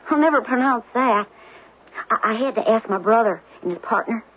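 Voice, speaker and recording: A woman's voice: on the high side, animated, moderate pace, lightly acted. A woman in a radio drama playing a plainspoken, hesitant backwoods cabin woman with a rural American accent. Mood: hesitant, hospitable. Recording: muffled noisy old radio.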